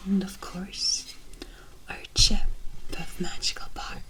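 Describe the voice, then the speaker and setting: Woman playing a slowly whispering, gentle forest witch. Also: fairly low pitch, flat delivery. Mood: reverent, instructive. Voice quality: breathy whisper. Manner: slow, hushed, soothing, deliberate. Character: kindly forest witch, gentle, knowing healer. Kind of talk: ASMR roleplay, soft whispering.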